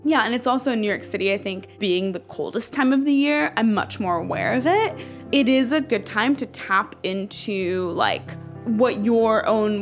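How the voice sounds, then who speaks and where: A woman's voice: medium pitch, a bit bright. A young woman from Ethiopia speaking in a casual, earnest, reflective way, filling her talk with "like". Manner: earnest, says "like" constantly. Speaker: interviewee.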